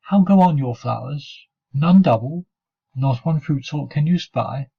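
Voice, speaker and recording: A man introduces a poem as its reader. He speaks slowly in a husky voice, and the recording sounds slightly dull and lo-fi. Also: fairly high pitch, animated. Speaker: poetry reader. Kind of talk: announcing a poem title and author.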